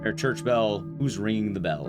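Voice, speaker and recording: A man's voice: on the low side, lively intonation, normal speed. A man streaming a game, giving casual, rambling commentary to his chat with playful asides. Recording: loud music under the voice. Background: game and stream music.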